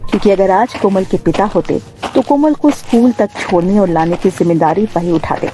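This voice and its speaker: A woman's voice: mid-range voice, normal speed, dark tone. A woman reading a scripted moral story for children as the narrator.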